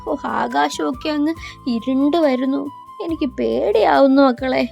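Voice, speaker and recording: A weary old grandmother acted by a woman in a children's story, worried and affectionate. The voice is high for an old lady and soft-edged, with faint music behind it. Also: a little slow, creaky, lo-fi sound, exaggerated voice. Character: tired, anxious, affectionate elderly grandmother. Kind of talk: children's bedtime story acting.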